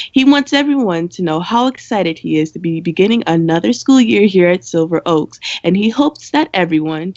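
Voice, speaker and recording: A young woman playing a dry, cynical high school senior girl who co-hosts a campus radio show in an amateur radio play. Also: a little mellow, dry recording, lo-fi sound, close to an everyday voice.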